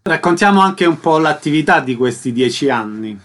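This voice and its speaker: A man's voice: fairly high pitch, lively intonation, moderate pace, noisy background. A man speaking as a radio interviewer, delivering scripted introductions and questions.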